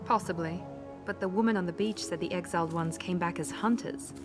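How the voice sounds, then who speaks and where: An actress playing a cool, analytical squad member giving measured observations in a science fiction game. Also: female voice, fairly low pitch, music in the background, lightly acted.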